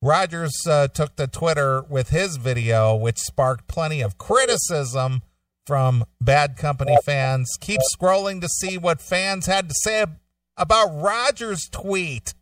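A man with a somewhat high voice co-hosts a casual show, moving between reading stories aloud and loose, joking chat. The studio sound is dry and slightly distant from the mic. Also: measured pace, a bit bright, dry recording. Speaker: show co-host.

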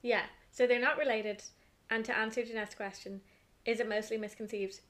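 A woman's voice: fairly high pitch, fairly even intonation, moderate pace, fairly bright tone. A woman hosting a casual podcast interview, agreeing often and reading out listener questions. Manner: encouraging, frequent short agreements. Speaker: podcast host.

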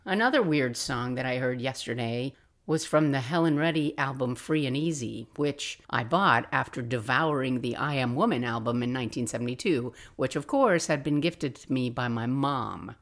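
Older woman, deep-set low pitch, casual storytelling, reflective, confiding podcast host.

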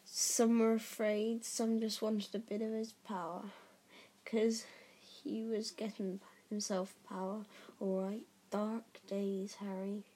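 Storybook giant man, played by a woman, gruff, kindly, slow, husky, halting rustic speech. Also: medium pitch, level tone, warm tone. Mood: grave, reluctant. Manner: halting, rustic colloquial turns of phrase.

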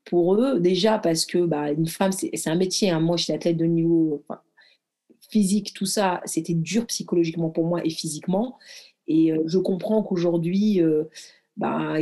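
Mellow-voiced woman in her thirties. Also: lowish voice. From Morocco. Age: 30s. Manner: reflective, halting, lots of fillers and restarts. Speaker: guest athlete and former firefighter. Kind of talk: casual conversational storytelling.

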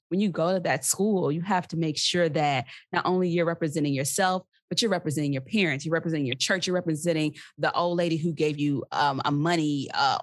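Reflective young woman interviewer, low voice. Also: no room sound. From Mississippi, USA. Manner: reflective, emotional, searching for words. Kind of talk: earnest conversational interview.

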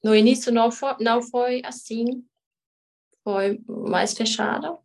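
Guest, female, from Germany, German native, slow and halting. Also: fairly high pitch, creaky, a little mellow. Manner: hesitant, many filler sounds, searching for words. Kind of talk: conversational interview.

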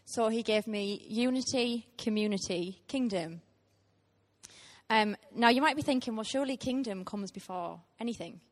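A woman in her thirties gives a guest sermon in a church. Her voice sits at an ordinary middle pitch, and her delivery is sincere and heartfelt, with plenty of small ums between thoughts. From Teesside, England. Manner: earnest, heartfelt, with frequent ums. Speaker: guest preacher.

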